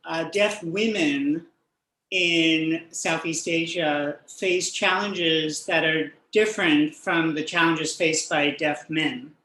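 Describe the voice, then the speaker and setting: An interview host speaking slowly and deliberately in a mid-range voice. They read out viewer questions in a measured way and nudge the guest along with soft listening sounds. The room around them gives off a noticeable echo. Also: mid-range voice, steady tone, slight background noise. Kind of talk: hosting a live-streamed interview.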